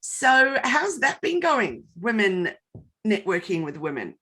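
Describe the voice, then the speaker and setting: A woman hosting a casual live interview over a video call, bubbly and enthusiastic, a little croaky this morning. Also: mid-range voice, sing-song, takes it slowly, sharp tone. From Australia. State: slightly croaky voice. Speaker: host.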